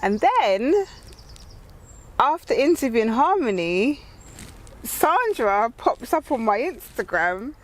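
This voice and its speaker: A woman's voice: fairly high pitch, big pitch swings, takes it slowly, pauses often. A woman with a London accent hosting a casual interview, speaking expressively and repeating phrases for emphasis. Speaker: interview host.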